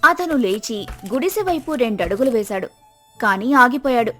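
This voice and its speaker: A woman's voice: a little high, lively intonation, normal speed, fairly bright tone. A woman narrator telling a scripted moral story. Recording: music behind the voice.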